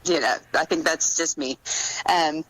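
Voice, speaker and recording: Low, bright-voiced woman interview guest. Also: measured pace, rough recording. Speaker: author guest. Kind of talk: casual interview chat.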